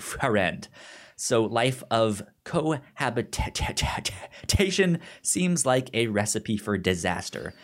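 A man chatting casually as a podcast co-host, halting and informal, with a stutter that repeats sounds and syllables. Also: medium pitch, a bit bright. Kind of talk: casual chat, pitching shows to a co-host.

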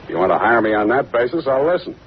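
An American actor playing a tough, wisecracking private detective, clipped and deadpan, in 1940s radio style. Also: male voice, mid-range voice, fast talker, slight background noise, lightly acted. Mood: dry, businesslike. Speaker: lead actor and narrator. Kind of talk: 1940s radio detective acting, hard-boiled narration. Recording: muffled old radio broadcast, loud music.

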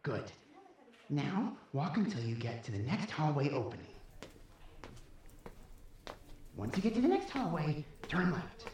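A woman's voice: low voice, huge pitch swings, normal speed, a little mellow, slight background noise. A woman playing a persuasive, impatient child in an audio drama, coaxing and insistent, with a voice that sounds older than a kid's. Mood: guiding. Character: persuasive, impatient kid trapped and scheming. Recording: roomy, echoing sound.

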